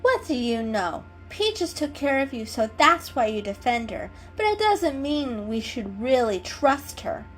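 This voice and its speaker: A woman's voice: a little high, very animated, a little slow, few pauses, faint music behind, pitched above the performer's own voice, poor audio. A woman voicing a haughty, scornful female cat in a storybook reading, with a snooty, scoffing way of talking.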